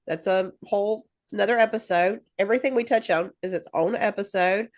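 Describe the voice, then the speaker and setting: A woman's voice: medium pitch, fairly quick. A woman co-hosting a podcast in casual chat, rambling with lots of fillers and restarts and laughing easily. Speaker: podcast co-host.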